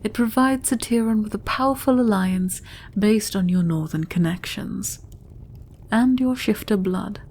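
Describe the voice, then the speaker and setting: Woman as regal queen mother, dark mellow voice, stately and deliberate, shrewd, commanding, fantasy audio drama. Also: mid-range voice. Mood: stately, gracious. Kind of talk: audio roleplay fantasy acting, addressing listener.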